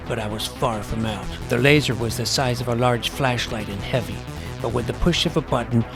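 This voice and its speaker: This is a self-serious nerdy schoolboy narrating his exploits as a would-be superhero, as a man acts him out. His delivery is dry and deadpan, with a gravelly edge to the voice. Soft music plays faintly in the background. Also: medium pitch, natural voice. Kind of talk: scripted audio drama narration.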